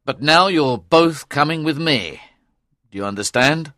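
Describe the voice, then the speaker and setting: A stern knight with a hard, forceful edge who speaks slowly and gives orders, as a male storyteller puts him on. The reading is dry and close. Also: a little high, lively intonation, no echo, pitched above the performer's own voice.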